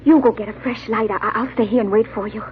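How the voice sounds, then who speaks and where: An actress playing an anxious, determined, secretive wife in an old-time radio drama, urgent and fretful. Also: female voice, a little high, fairly even intonation, quick pace, close to an everyday voice. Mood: anxious, commanding. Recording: thin, phone-like, noisy vintage radio recording.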